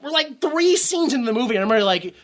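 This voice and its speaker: A man's voice: very high voice, sing-song, rapid-fire. A man co-hosting a podcast, chatting casually about a film, rambling, swearing freely and acting out lines he quotes. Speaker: podcast co-host. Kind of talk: casual movie review chat.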